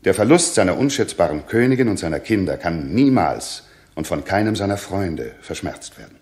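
A middle-aged king in a sixties radio play. His lowish voice moves with lively intonation. He is stately and commanding, yet troubled. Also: moderate pace. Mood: pleading, firm. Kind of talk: 1960s German radio drama acting.

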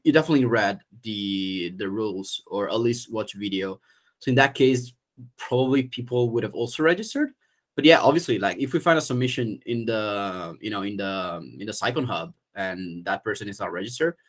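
Italian man on a video call. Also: mid-range voice. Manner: hesitant, many fillers and restarts. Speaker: event organizer. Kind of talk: casual video call meeting. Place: room at home.

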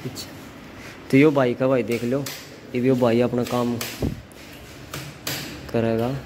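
A man's voice: mid-range voice, little pitch movement, unhurried. Haryanvi man in a noisy, echoey hall. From Haryana, India. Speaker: vlogger. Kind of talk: casual vlog commentary. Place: motorbike dealership showroom. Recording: echoey hard-walled showroom, loud background noise.

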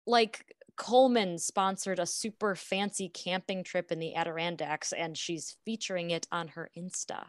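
A woman's voice: lowish voice, steady tone, normal speed, hardly any pauses, no room sound, clean studio sound, natural voice. A woman playing a discontented young wife in a radio drama, offhand and withdrawn. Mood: preoccupied. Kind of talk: naturalistic radio drama dialogue.

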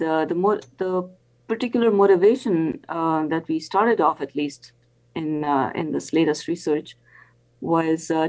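An older woman, an academic guest, explains things on a video call in a careful, halting way with many pauses. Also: deep voice, a little mellow, poor audio. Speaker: interview guest, professor and planetary scientist. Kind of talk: explanatory interview answers.

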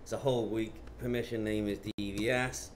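A man, talking matter-of-factly through steps in an informal software tutorial. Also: on the low side, steady tone, fairly quick. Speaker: presenter of product tutorials. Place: indoor office. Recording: clear background noise.

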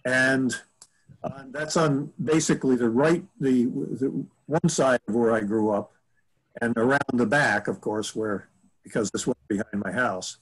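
A man in his seventies reminiscing casually on a video call from home, rambling and halting as he corrects himself. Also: medium pitch, steady tone, slightly rough, poor audio. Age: 70s. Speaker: author answering audience questions. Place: room at home. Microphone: computer mic on a video call.

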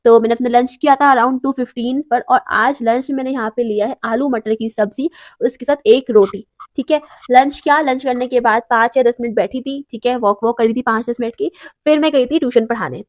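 A young woman in her twenties talking through her daily routine in a chatty, encouraging way, filmed at home by a camera in front of her. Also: a little high. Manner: chatty, encouraging, keeps saying "theek hai". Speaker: vlogger. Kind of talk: daily routine vlog, motivational chat. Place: small room at home, sitting on bed.

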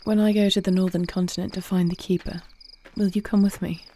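A woman playing a poised young warrior princess in a fantasy audio roleplay, speaking with formal, measured, regal diction. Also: medium pitch, flat delivery, dark tone, a little hiss or hum, close to an everyday voice. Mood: tender. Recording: faint night cricket ambience.

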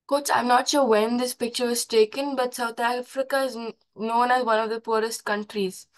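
A woman's voice: a little high, fairly even intonation, normal speed, low-quality recording. A woman sharing a reflection as a participant in a coaching call.

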